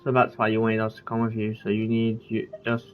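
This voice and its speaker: A man's voice: lowish voice, completely flat delivery, fairly quick, slight background noise. Muffled man reacting casually to a game. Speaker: game streamer.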